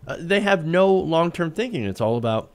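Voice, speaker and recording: A man's voice: on the high side, lively intonation, normal speed. A man co-hosting a political talk show, arguing his points emphatically with rhetorical questions. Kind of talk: opinionated news commentary.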